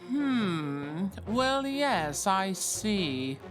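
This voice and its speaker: A woman voicing an earnest, puzzled male doctor in a storybook read-aloud, measured and deliberate, over faint music. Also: mid-range voice, very animated.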